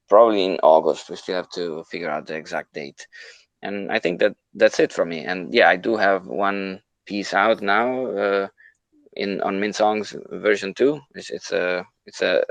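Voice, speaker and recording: Low, gravelly-edged man. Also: no echo. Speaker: musician and host of online show. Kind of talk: casual live online panel talk.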